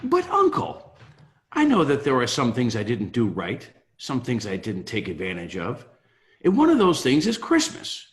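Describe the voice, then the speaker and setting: A man's voice: medium pitch, huge pitch swings, moderate pace. A man playing a cheerful, earnest nephew in a table read, pleading in an emphatic, theatrical way. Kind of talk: amateur table read of a Victorian Christmas story.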